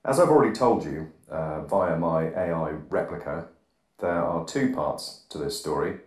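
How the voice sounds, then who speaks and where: A man hosting an absurdist comedy podcast, rambling deadpan monologue recorded on a phone. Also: sits low, moderate pace. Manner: deadpan, rambling, drops articles. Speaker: podcast host. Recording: phone recording with reverb plugin, bathroom echo.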